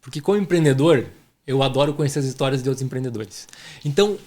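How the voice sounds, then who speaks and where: A man's voice: medium pitch, fast talker, a little mellow. A man with a southern Brazilian gaúcho accent, hosting a podcast in an effusive, informal way and speaking affectionately to listeners. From southern Brazil (Rio Grande do Sul). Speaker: podcast host, entrepreneur.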